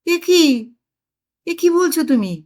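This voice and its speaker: Lady doing an exasperated wife in a comedy story, high pitched, swoopy, always protesting. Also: lively intonation, slow pace, darkish tone, exaggerated voice. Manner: protesting, questioning. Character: indignant, sharp-tongued married woman, exasperated wife.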